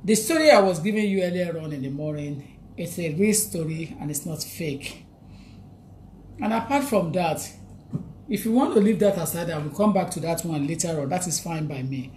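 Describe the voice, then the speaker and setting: A woman's voice: fairly low pitch, animated, normal speed, darkish tone, slight background noise. A woman with a Nigerian English accent hosting a live stream, greeting viewers by name and repeating her points in a chatty way. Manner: chatty, repeats phrases, reads out viewer names, laughs often. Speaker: livestream host.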